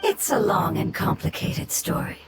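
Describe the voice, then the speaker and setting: A woman voicing a gentle ancient nonhuman survivor in a video game, speaking solemnly and formally in a breathy, whispery voice. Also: natural voice, low-quality recording. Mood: solemn, sorrowful. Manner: solemn, measured, formal storytelling. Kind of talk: scripted video game dialogue. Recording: game audio under loud music.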